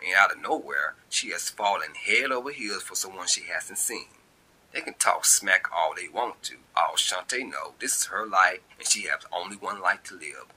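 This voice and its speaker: Husky, bright-edged man narrating a story. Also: deep voice, low-quality recording. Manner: colloquial, expressive storytelling. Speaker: author and narrator. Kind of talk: scripted short-story narration.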